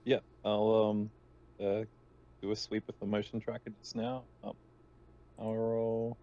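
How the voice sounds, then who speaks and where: A man's voice: on the low side, flat delivery, measured pace. A man playing a tabletop roleplaying game, chatting casually in a hesitant, stammering way over a dull voice connection. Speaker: tabletop RPG player.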